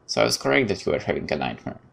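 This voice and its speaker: A let's player who reads game text aloud and thinks out loud in hesitant, casual asides. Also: low voice, sing-song, very fast, vocal fry. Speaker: gaming video host. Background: game music.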